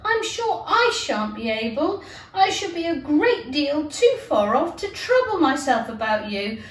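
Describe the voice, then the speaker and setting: A woman's voice: sits high, big pitch swings, measured pace, a little hiss or hum, voice pushed up. A woman voicing a curious, fretful young girl in a storybook, wondering and exclaiming earnestly. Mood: fretful, fanciful. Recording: roomy, distant microphone.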